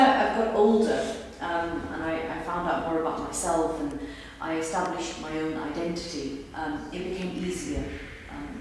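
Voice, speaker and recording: A woman in her thirties, raised in the UK with Punjabi as her first language, answers questions in a thoughtful way, often pausing with ums. Her voice sits a little low for a woman. It is picked up from across a very echoey room with a faint hiss behind. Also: darkish tone. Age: 30s. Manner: thoughtful, with frequent ums and restarts. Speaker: interviewee. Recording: echoey room recorded from a distance.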